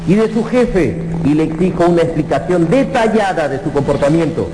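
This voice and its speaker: A man acts out a pompous, indignant company boss in a radio drama. His fairly high voice swings widely as he makes his formal, officious points, ringing in a reverberant space with loud music behind. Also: animated, normal speed, noisy background, close to an everyday voice. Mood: stern, demanding. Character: pompous, indignant male company manager scolding an employee.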